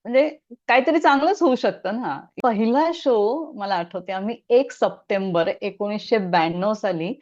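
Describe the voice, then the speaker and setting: A woman's voice: medium pitch, moderate pace, few pauses, highs cut off. A middle-aged woman puppeteer, answering interview questions in a reflective, casual way with frequent hesitations. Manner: reflective, many hesitations, laughs softly.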